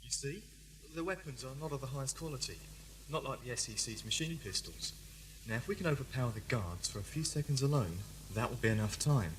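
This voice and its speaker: A man's voice: medium pitch, expressive, fairly quick, lightly acted. Defiant father and prisoner for audio drama, male actor, mid-range, solemn, formal, declamatory; slightly noisy tape. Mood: conspiratorial. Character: defiant condemned father plotting a prison escape. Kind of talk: amateur audio drama acting. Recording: noisy hum, old cassette fan production.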